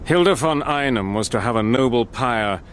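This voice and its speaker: A man's voice: medium pitch, lively intonation, slight background noise, close to an everyday voice. A man playing a grave officer-narrator. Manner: measured and grave, sharpening under fire. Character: resolute British officer recounting his war. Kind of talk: first-person radio drama narration. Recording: radio play with artillery sound effects.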